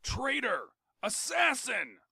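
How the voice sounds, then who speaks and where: A man's voice: mid-range voice, lively intonation, takes it slowly, fairly bright tone, no room sound, clean studio sound, pitched above the performer's own voice. A man doing a shrieking enraged ruler. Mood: accusing. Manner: shrieking, commanding. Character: raging paranoid male ruler, shrieking and imperious.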